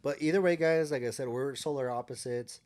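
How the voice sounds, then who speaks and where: A man's voice: medium pitch, a little fast, a little mellow. Joking, profane man co-hosting. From Mexico. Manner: joking and profane, trails off mid-sentence. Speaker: podcast co-host. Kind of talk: casual chat.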